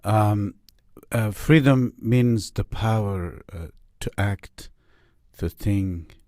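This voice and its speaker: A man's voice: lowish voice, very slow, warm tone, no room sound, studio quality. A middle-aged man from Afghanistan with an Afghan Persian accent, a native Farsi speaker, answering interview questions thoughtfully with many fillers and restarts. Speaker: interview guest, writer and academic.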